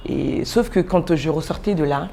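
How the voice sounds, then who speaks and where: A woman in her forties from Congo, a pastor being interviewed, telling her story softly with many pauses, fillers and restarts. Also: vocal fry, a little hiss or hum. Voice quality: low, soft voice with frequent fillers and restarts. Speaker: pastor, guest.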